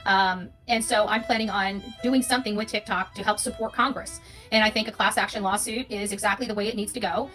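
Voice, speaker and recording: A woman's voice: mid-range voice, fairly even intonation, a little fast, fairly bright tone, soft background music, low-quality recording. A woman with an American accent giving an unscripted, opinionated monologue as an online creator, emphatic and repeating phrases for stress. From United States.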